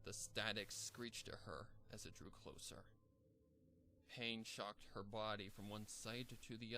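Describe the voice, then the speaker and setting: A man narrating a dark horror fanfiction in a steady, measured storytelling voice. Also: fairly low pitch, a little hiss or hum, low-quality recording. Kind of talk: dramatic horror story reading.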